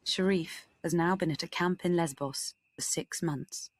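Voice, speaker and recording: A narrator reading a charity video script in a calm, measured way. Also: medium pitch, dry recording. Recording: dry narration from a played video.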